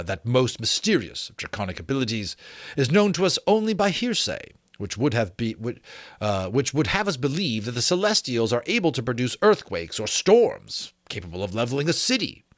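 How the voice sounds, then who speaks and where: A man's voice: medium pitch, dry recording, clean studio sound. A man hosting a book read-aloud, shifting between theatrical, formal reading and informal asides. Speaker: host reading books aloud.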